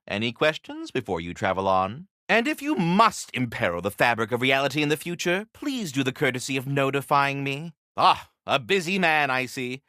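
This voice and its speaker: An actor playing a polite, fussy talking squirrel in a video game, with courtly, formal and theatrical phrasing. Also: male voice, on the high side, bright, forceful tone, no room sound, clean studio sound, full character voice. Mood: chatty, courteous. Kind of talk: video game character dialogue.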